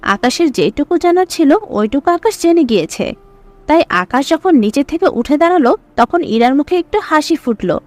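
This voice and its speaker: A woman's voice: high-pitched, animated, moderate pace, darkish tone. A woman reading scripted story narration as the narrator.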